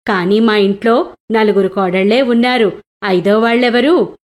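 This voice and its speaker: A woman's voice: a little high, moderate pace, natural voice. A woman voicing a devout, fatherly older merchant in an earnest way for a moral storybook tale. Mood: questioning. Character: devout wealthy merchant, fatherly older man with grown daughters-in-law.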